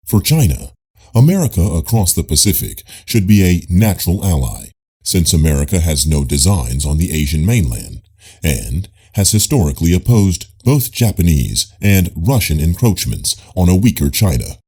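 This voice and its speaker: A man narrates nonfiction in a low, husky voice. His reading is formal and measured, as in an audiobook, yet the pitch moves in a lively way across each sentence.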